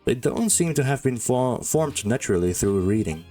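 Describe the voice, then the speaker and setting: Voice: medium pitch, expressive, normal speed, warm tone, soft background music. A streamer playing a game and giving casual, informal, rambling commentary.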